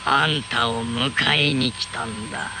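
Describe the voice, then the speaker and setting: An actor playing a sly, rough-talking male devil in a radio drama. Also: medium pitch, a little slow, pushed and bright, a little hiss or hum, poor audio. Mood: smug. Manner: swaggering, coarse street talk.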